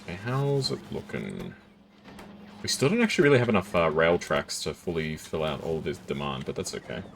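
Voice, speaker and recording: A man with a deep voice streaming a game, chatting casually with viewers and thinking aloud as he plays. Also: a little fast, gravelly, slight background noise. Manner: relaxed, chatty, thinks aloud while reading chat. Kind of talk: casual gameplay chat with viewers.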